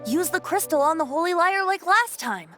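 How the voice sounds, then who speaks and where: A woman playing a gentle, wistful youthful bard in a video game, speaking without hurry. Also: very high-pitched, expressive, moderate pace, faint music behind, full character voice. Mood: hopeful. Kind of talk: video game character acting.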